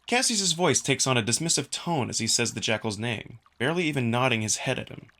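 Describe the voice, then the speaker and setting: Bright, animated male narrator. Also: mid-range voice, a little fast. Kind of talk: first-person scripted narration of a visual novel.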